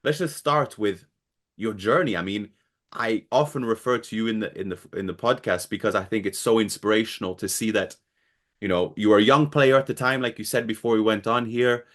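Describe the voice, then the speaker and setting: A man's voice: lowish voice, a little fast. A man hosting a football podcast, asking long, rambling questions in a friendly, casual way with frequent laughs and restarts. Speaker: podcast host. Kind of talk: casual interview.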